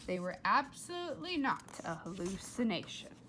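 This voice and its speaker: A woman reading a story aloud in an expressive, animated and deliberate way. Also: mid-range voice, slight background noise. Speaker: storybook reader. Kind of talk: expressive read-aloud narration.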